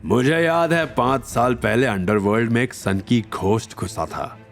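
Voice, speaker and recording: A man's voice: mid-range voice, normal speed, vocal fry, soft background music, close to an everyday voice. A man voicing a boastful reformed underworld hitman in an audio drama, speaking in a dramatic, awed storytelling manner. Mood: reminiscing.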